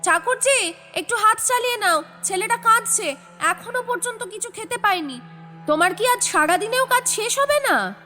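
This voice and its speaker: An actress playing a domineering, sharp-tongued elder sister-in-law in an audio drama, scolding and quarrelsome. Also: female voice, extremely high pitch, expressive, normal speed, faint music behind, heavily acted. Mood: impatient. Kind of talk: Bengali audio drama acting.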